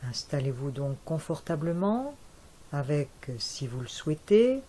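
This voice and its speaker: A woman guiding a yoga nidra relaxation in a soft, calm voice with long pauses. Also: low-pitched, a little hiss or hum. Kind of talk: guided relaxation.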